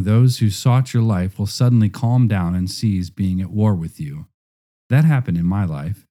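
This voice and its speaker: Deep, very mellow male narrator.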